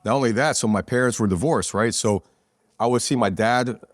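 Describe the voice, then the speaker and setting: A man's voice: mid-range voice, a little fast, no echo, studio quality. A man in his forties from New Jersey, a podcast guest telling rambling, profane stories in a casual chat at a pizzeria. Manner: rambling, profane, street-talking. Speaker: podcast guest, business owner.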